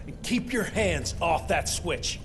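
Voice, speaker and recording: A man's voice: a little high, expressive, slow, noisy background. An actor playing a determined male vampire hunter with a terse, dry way of talking.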